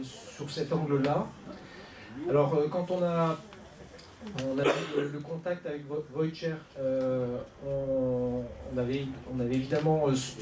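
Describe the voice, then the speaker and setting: A man's voice: on the high side, dark tone. A man organizing a meeting, introducing a guest speaker with many hesitations. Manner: hesitant, many fillers and restarts. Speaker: organizer and moderator. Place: conference room. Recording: roomy hall, far from mic.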